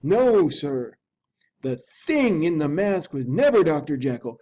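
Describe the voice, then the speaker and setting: A man voicing a frightened, loyal older male servant who talks in a high, agitated voice. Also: big pitch swings, measured pace, mellow. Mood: insistent. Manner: high-pitched, agitated, urgent pleading.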